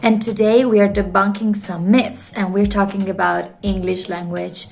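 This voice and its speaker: A woman hosting a language learning video, talking to camera in an earnest, expressive way. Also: mid-range voice, normal speed, gravelly, soft background music.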